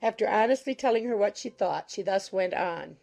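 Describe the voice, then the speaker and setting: A woman reading scripted audiobook narration in a measured, formal storytelling manner. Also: medium pitch, vocal fry, a little mellow, faint music behind.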